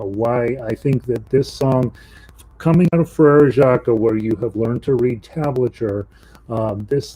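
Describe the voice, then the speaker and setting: Low-voiced man teaching ukulele. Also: mellow, slightly rough, rough recording. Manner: casual, rambling, with many ums and self-corrections. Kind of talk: live online music lesson. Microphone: voice mic and separate instrument mic.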